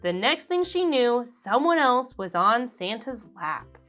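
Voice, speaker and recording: A woman reading a children's picture book aloud, slowly and expressively. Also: a little high, faint music behind. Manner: slow, expressive, animated character voices. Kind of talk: read-aloud storybook narration.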